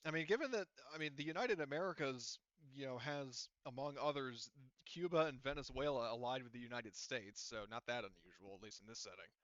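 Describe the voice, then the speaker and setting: A man's voice: mid-range voice, a little fast, few pauses, a bit bright, dry recording, dull audio. A man speaking informally as game master of a tabletop roleplaying game.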